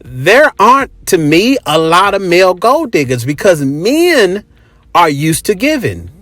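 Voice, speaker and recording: A man's voice: high-pitched, very animated, unhurried, fairly bright tone, no echo, clean studio sound. A middle-aged man telling stories in a casual monologue, talking in a blunt, emphatic, conversational way. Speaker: host.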